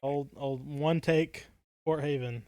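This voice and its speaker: A man's voice: on the high side, steady tone, slow, mellow, dry recording. A man around his thirties co-hosting a casual livestream chat, rambling along in a jokey, tongue-in-cheek way. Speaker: podcast co-host.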